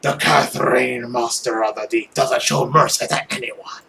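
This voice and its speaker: A performer voicing a boastful giant monster of the deep with booming, grandiose delivery. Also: steady tone, moderate pace, gravelly, bright, forceful tone, slight background noise. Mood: boastful menace.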